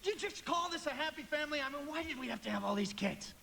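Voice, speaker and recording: A man's voice: very high-pitched, expressive, fast talker, vocal fry, sharp tone, slight background noise, heavily acted. An actor playing a frantic, unraveling small-town family man in a live radio play, stammering and repeating himself. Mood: irritable, ranting.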